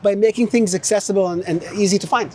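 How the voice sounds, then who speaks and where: Earnest Israeli man, higher voice. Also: warm tone, slight background noise. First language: Hebrew. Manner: earnest, halting, with many restarts and fillers. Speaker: tech company founder. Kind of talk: casual street conversation. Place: city street outdoors.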